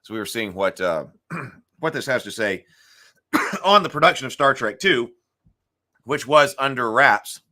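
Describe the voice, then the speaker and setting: A middle-aged man hosting a casual morning live stream, his voice gravelly today, clearing his throat often and thinking aloud with fillers. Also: medium pitch, lively intonation, a little slow, long pauses, bright, forceful tone.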